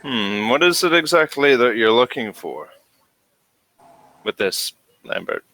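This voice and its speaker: A man playing a courtly male general in a tabletop game, talking in a measured, courteous manner. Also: medium pitch, expressive, bright, forceful tone. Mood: guarded, measured. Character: courtly male general, gracious, slightly flirtatious.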